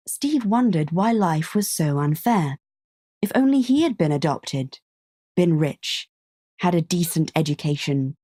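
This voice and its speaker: A woman narrating a scripted story in a measured, understated way, close on the microphone. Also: low voice, warm tone, no room sound.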